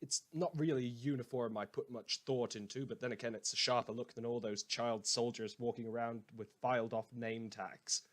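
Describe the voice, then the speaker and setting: A man's voice: medium pitch, fairly even intonation, moderate pace, few pauses, fairly bright tone, natural voice. A man playing a sardonic, theatrical radio host. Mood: wry, smug. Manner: sarcastic, rambling, mock-grandiose, hesitant repetitions. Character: sardonic, theatrical male radio host turned self-appointed vigilante lawman. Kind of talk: scripted fictional radio monologue.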